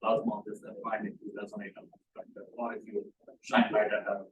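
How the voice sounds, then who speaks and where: Distant, echoey low-voiced man. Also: monotone, brisk, dull audio. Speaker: audience member commenting. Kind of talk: technical discussion. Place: seminar room. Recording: echoey noisy room, far from mic.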